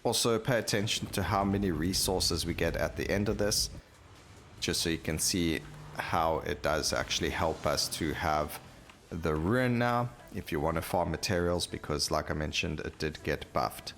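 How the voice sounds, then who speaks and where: A man's voice: deep voice, normal speed, a bit bright, a little hiss or hum. A man giving casual gameplay commentary, thinking aloud and rambling as he plays, with game music behind him. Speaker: gaming video host.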